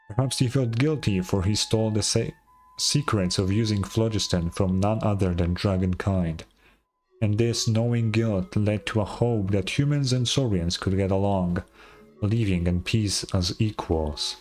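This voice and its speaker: Give me an earnest scholarly game guide, slow, formal and courteous, over music. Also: male voice, fairly low pitch, a little mellow. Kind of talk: video game voice acting.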